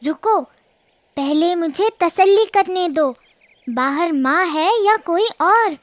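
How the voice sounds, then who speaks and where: Squeaky little cartoon goat kid. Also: female voice, expressive, slow, full character voice. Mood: wary, defiant. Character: cautious, childlike little goat kid, small and alert. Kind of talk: children's cartoon story acting.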